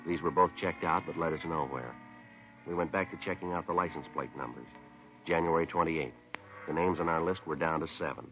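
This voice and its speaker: An American actor playing a stoic, polite police detective in a 1950s radio drama, speaking in a terse, matter-of-fact way. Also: male voice, extremely low pitch, a little fast, faint music behind. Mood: businesslike. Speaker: narrator and lead actor. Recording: dull 1950s radio broadcast recording.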